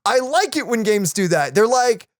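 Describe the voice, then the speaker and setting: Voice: mid-range voice, very animated, moderate pace, no echo, studio quality. An enthusiastic reaction video co-host chatting casually and conversationally about what was just watched.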